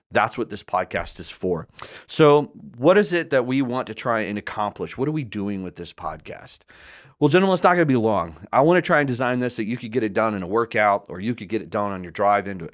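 A man's voice: mid-range voice, a bit bright. A man in his forties, a pastor from the United States, hosting a solo podcast and talking earnestly and directly into a studio mic, often restarting and repeating words. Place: small studio set indoors. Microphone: large studio mic in front of mouth.

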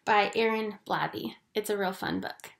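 A woman in her twenties reading a children's story aloud in an expressive, theatrical way. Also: mid-range voice, moderate pace, creaky, background noise. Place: small indoor home room. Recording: echoey small room.

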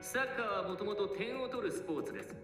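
An actor playing an arrogant, contemptuous soccer coach in an anime, lecturing in a condescending manner. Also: male voice, high-pitched, expressive, quick pace. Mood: intense lecturing. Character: arrogant, contemptuous male soccer coach running a harsh program. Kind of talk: anime voice acting. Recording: distant, echoey, loud music behind.

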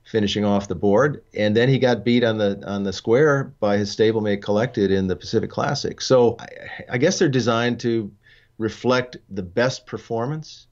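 Older male co-host, low voice, measured delivery, dull lo-fi video call sound. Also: a little mellow. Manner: measured, thoughtful, frequent fillers and restarts. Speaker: co-host and racing journalist. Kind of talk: casual discussion show. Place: studio with dark backdrop.

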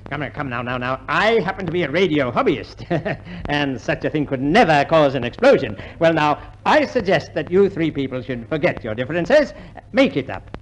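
A pompous high-voiced radio judge. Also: male voice, very animated, moderate pace. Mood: genial, lecturing. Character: genial, flatterable courtroom judge, firm but easily tickled. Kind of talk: 1950s radio comedy acting. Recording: noisy 1950s radio recording.

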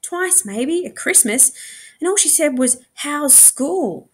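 Woman acting an indignant, high-pitched young girl. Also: lively intonation, slow pace. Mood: pleading, indignant. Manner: protesting, emphatic. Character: anxious, indignant young girl pleading with her father.

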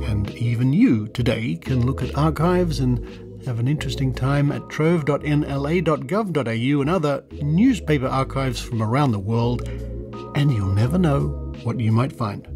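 Male podcast host over music. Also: medium pitch. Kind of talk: semi-scripted podcast monologue. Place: sound-treated home recording room. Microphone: close studio condenser microphone.

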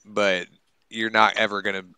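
A man's voice: lowish voice, level tone, normal speed, pushed and bright, clean tone, no room sound, low-quality recording. A young adult man hosting a podcast in a casual, conversational way. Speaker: podcast host. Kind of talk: casual chat.

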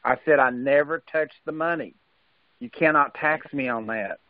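A man's voice: a little high, moderate pace, no room sound. A man taking part in a conference call by phone, talking casually. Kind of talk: casual conversation. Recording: narrow tinny phone line.

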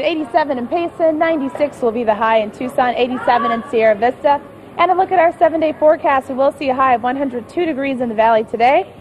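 A woman's voice: high voice, a little hiss or hum. Upbeat woman reporting live from a gym. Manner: upbeat, bright presenter delivery. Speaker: field reporter. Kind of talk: live morning TV field report. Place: busy indoor gym. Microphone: handheld mic.

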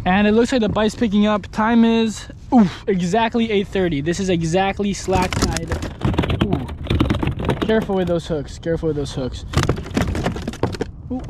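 A young man fishing from a kayak on open water, chatting casually to an action camera and breaking into excited exclamations. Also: sits high, normal speed, long pauses, heavy background noise. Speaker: kayak angler. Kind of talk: casual fishing vlog commentary. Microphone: action camera mounted on the kayak.

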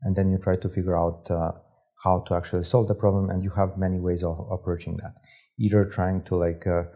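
A man's voice: sits low, little pitch movement, a little fast, mellow, highs cut off. A young man from Serbia, a guest in a studio interview, explaining his work with many fillers and restarts on a lapel mic. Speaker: guest, startup operator. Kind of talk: casual interview talk. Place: studio set with armchairs.

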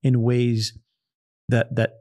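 A man's voice: mid-range voice, completely flat delivery, slow pace, mellow. A male podcast host chatting casually, encouraging his guest with frequent agreeing backchannels. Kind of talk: casual interview chat.

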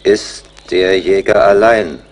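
An actor playing a sly, proud Huron scout in a radio play, solemn and guarded in manner. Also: male voice, deep voice, slight background noise. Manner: slow, solemn, speaks of himself in third person. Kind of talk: German radio play acting.

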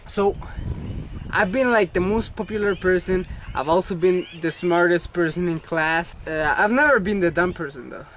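A young man in a casual outdoor vlog, rambling and joking into a camera mic. His voice sits a little high. Faint outdoor ambience hums behind him. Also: moderate pace, a bit bright, slight background noise. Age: young adult. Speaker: vlogger. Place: outdoor playground. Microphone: camera mic at arm's length.

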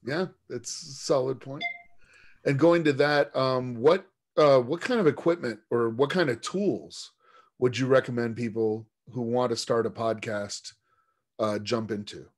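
A man's voice: mid-range voice, unhurried. A man moderating a panel from his home office over a video call, asking questions casually with hesitant fillers.

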